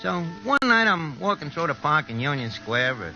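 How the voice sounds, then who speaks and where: A cocky, slangy small-time crook in an old radio play. His fairly high voice swings widely as he rambles and brags. The recording is dull and clearly noisy. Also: big pitch swings, normal speed, bright, forceful tone, soft background music, full character voice. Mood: confiding. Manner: streetwise, rambling, boastful slang. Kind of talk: 1940s radio acting.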